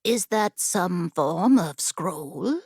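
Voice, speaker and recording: A woman voicing an ancient, predatory female genie in a fantasy audiobook, with a breathy growl and slow, deliberate delivery. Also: on the low side, animated, darkish tone, dry recording, clean studio sound, voice pushed down, full character voice. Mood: wary curiosity. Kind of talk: fantasy audiobook character acting.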